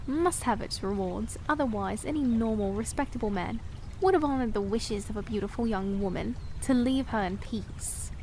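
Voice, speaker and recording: A woman's voice: on the high side, normal speed, a little mellow, slight background noise, close to an everyday voice, rough recording. A woman playing a proud young princess in a fantasy audio drama, with formal, old-fashioned, precise diction. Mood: indignant. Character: proud young princess, soft-spoken yet defiant, sharp-tongued.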